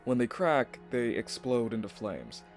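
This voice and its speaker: An actor playing an earnest, modest young alchemist in an audio drama, explaining things politely and matter-of-factly. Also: male voice, medium pitch, big pitch swings, measured pace, vocal fry, soft background music. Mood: concerned, explaining.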